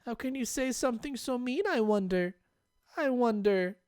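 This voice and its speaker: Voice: medium pitch, lively intonation, normal speed, darkish tone, dry recording, clean studio sound, voice pushed up, natural voice. A reader putting on an eerie sweet-voiced teenage girl. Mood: coaxing. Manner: sing-song, pleading, repeating itself. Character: sweet teenage girl turned menacing, eerily cheerful. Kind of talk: audiobook reading.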